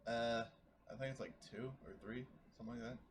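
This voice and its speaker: A man's voice: mid-range voice, steady tone, fast. A man playing a tabletop roleplaying game, casually narrating and speaking for his character.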